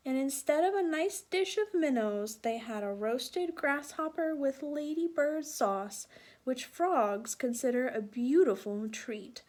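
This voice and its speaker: A woman reading a picture book aloud to young children, expressively, with asides and questions to her listeners. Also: high voice. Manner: expressive, with sound-effect emphasis and questions to listeners.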